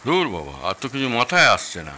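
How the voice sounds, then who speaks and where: Man playing a sharp-voiced, grumbling father-in-law. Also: medium pitch, wildly swooping pitch, fairly quick, heavily acted. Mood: competitive. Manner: opinionated, theatrical, reminiscing. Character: grumbling, nostalgic elder Bengali father-in-law, fond of food. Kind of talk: audio drama acting.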